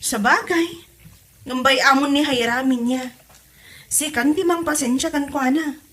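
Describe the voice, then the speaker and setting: A woman's voice: high-pitched, a little slow, fairly bright tone. A woman acting a nosy, chatty wife who gossips about her in-laws in an Ilocano comedy drama, with an Ilocano accent. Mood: gossipy, prying.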